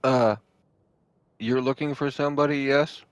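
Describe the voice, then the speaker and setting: Halting man at a gaming table, dry sound. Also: medium pitch, fairly even intonation, clean tone, dry recording. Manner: hesitant, halting. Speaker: player in a tabletop roleplaying game. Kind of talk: casual table talk.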